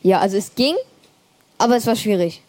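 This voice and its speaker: A woman's voice: medium pitch, a little fast, darkish tone, dry recording. Woman plainly explaining a project. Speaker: student presenter. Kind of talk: project presentation and Q&A.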